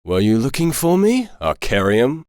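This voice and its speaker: A man's voice: medium pitch, huge pitch swings, normal speed, darkish tone, no room sound, studio quality. A man voicing an insolent young antihero in a fantasy game fan dub, smug, taunting and defiant. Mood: mocking. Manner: taunting, cocky.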